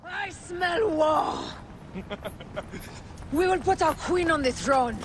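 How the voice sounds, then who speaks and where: A fierce, defiant warrior woman from a video game. The delivery is bold, slow and lively in pitch, over faint ambient noise. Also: exaggerated voice. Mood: defiant excitement. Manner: bold, spirited. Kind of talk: dramatic video game cutscene acting.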